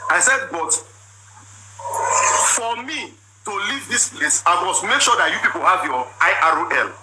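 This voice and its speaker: A man in his thirties with a Nigerian accent, telling his side of a marriage dispute in a loud, forceful, repetitive way. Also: sits high, animated, moderate pace. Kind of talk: emotional personal account. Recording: noisy background.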